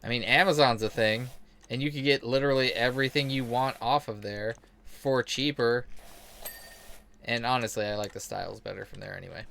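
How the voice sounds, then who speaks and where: A man's voice: medium pitch, fairly even intonation, brisk, gravelly, a bit bright, slight background noise. A man in a casual, rambling game commentary, sweary, self-deprecating and sarcastic. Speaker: game streamer telling stories while playing.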